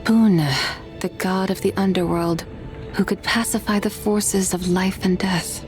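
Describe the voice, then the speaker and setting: A woman voicing a quiet, mysterious, pensive woman in a video game, musing in a deliberate way. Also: medium pitch, fairly even intonation, faint music behind, lightly acted. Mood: pensive, solemn.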